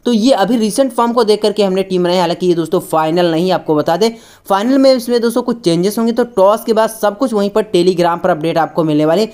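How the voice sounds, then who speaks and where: Fast-talking woman presenting a cricket preview. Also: mid-range voice, dark tone. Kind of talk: scripted sports preview narration for online video.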